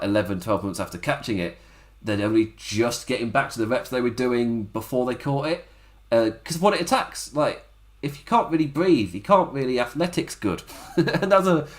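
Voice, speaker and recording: Chatty man reviewing wrestling. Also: medium pitch. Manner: chatty, rambling, laughs often. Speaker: wrestling podcast host. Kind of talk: casual solo review. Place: home setup on camera.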